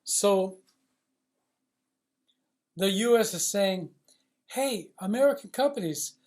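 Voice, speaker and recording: A man giving an unscripted, opinionated monologue as an industry insider, speaking bluntly and emphatically with salty language and repeating phrases in a halting way. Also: high voice, animated, a little mellow. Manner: blunt, emphatic, profane, riled up. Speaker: loudspeaker supply industry commentator based in China.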